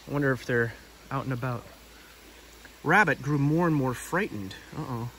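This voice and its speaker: A man's voice: medium pitch, fairly bright tone, slight background noise. A man hosting a read-aloud outdoors, narrating a storybook with casual asides. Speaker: storybook reader and video host. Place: outdoors by a river.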